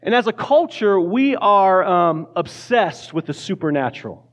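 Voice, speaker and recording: A man's voice: sits high, expressive, a little slow, a bit bright. A man preaching a casual sermon in a church, joking lightly and often restarting his words. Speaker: pastor. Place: church sanctuary.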